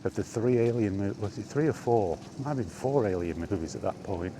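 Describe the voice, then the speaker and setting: Deep-voiced British man chatting casually. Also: expressive, a little fast, background noise. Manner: blunt, exasperated, sarcastic. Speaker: film vlogger. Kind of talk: casual opinionated film chat, rambling monologue.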